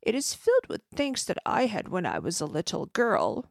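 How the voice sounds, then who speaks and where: A woman voicing a loving elderly grandmother who speaks gently to her granddaughter. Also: mid-range voice, huge pitch swings, normal speed, gravelly, no echo, studio quality.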